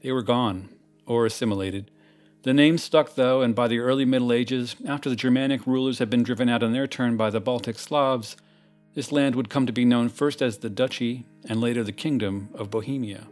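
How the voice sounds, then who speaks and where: A man hosting with scripted essay narration in a measured, erudite, slightly wry manner. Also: medium pitch, steady tone, darkish tone. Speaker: host. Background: plucked guitar music.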